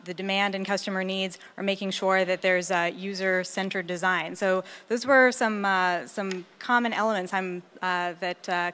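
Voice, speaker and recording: A woman's voice: mid-range voice, fairly bright tone. A woman moderating a group discussion, speaking off the cuff as she sums up and guides the session. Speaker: moderator of a roundtable readout. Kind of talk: facilitating a group discussion, unscripted.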